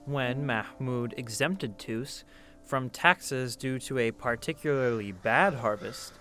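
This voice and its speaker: A man narrates in a scripted documentary storytelling style, with a mid-pitched voice. His delivery is dramatic and literary, and music plays underneath. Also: slow pace, sharp tone.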